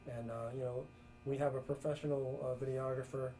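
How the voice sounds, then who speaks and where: A man co-hosting a wrestling talk show, chatting casually, laughing often and filling pauses with "uh". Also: mid-range voice, flat delivery, mellow, a little hiss or hum, rough recording. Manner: chatty, laughs often, heavy use of "uh" fillers. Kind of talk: casual commentary chat.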